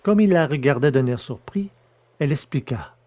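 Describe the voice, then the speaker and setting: A man reading the narration of a science-fiction story in a measured way. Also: medium pitch, expressive, warm tone. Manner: measured, descriptive. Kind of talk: scripted narration.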